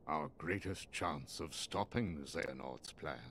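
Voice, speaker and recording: An actor voicing a stern, wise old wizard master in a video game, speaking formally and gravely in measured phrases. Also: male voice, extremely low pitch, a bit bright, a little hiss or hum. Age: older. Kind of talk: video game cutscene acting.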